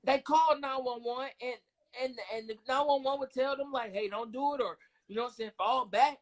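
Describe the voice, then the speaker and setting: A man's voice: very high-pitched, brisk. Blunt, slangy American man on a podcast. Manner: blunt, slangy, punctuates with tag questions. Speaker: podcast co-host. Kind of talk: casual, profane podcast conversation.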